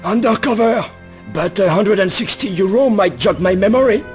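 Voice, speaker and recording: A surly old man acted by a man with a strikingly high voice for a male. He is irritable and suspicious, grudging with every line, in a video game cutscene with faint music. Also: normal speed, a little mellow, close to an everyday voice. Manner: gruff, dismissive, irritable.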